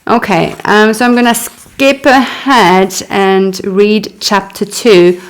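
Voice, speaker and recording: A woman in her thirties whose first language is French, reading her own memoir aloud and stopping for chatty asides. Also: medium pitch. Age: 30s. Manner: unhurried reading broken by chatty asides and little laughs. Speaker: author.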